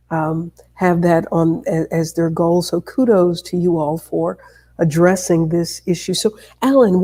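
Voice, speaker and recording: A woman hosting an interview, asking questions in a deliberate, encouraging way. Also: on the low side, dark tone. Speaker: interview host.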